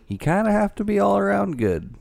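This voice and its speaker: A man's voice: sits high, big pitch swings, brisk, vocal fry, darkish tone, no room sound. A man in casual conversation, rambling with lots of filler words. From born in Canada, grew up partly in Scotland and Venezuela. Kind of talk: casual chat.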